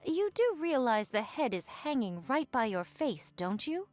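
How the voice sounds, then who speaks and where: A woman's voice: a little high, sing-song, measured pace, darkish tone, dry recording, soft background music. A woman voicing a haughty, genteel lady with lofty, archaic diction in an anime-style game. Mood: lofty, exasperated.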